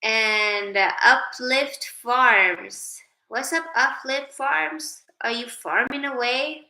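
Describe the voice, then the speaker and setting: Woman livestream host, higher voice with a bright edge, unhurried and chatty. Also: reverberant, poor audio. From Sweden. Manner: chatty, reads viewer names aloud, drifts into spiritual musing. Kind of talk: casual livestream chat reading comments.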